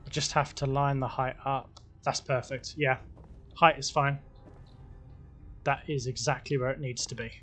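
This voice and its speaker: A man giving relaxed, casual gameplay commentary, talking to himself as he builds, his voice a little croaky. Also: medium pitch, normal speed, pauses often, soft background music. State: slightly croaky voice. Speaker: gaming video host.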